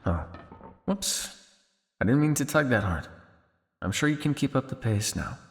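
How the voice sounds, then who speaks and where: A man playing a weary, guilt-ridden old vampire scientist in an ASMR roleplay, speaking to the listener in a measured, dryly formal way. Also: medium pitch, very animated, vocal fry, big-room sound, soft background music. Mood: urgent, firm.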